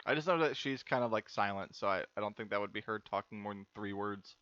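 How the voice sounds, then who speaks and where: A man's voice: lowish voice, fast talker, a bit bright, no room sound. A man co-hosting a let's play with casual, offhand comments. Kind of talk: casual gaming chat. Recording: dull lo-fi mic, faint music behind.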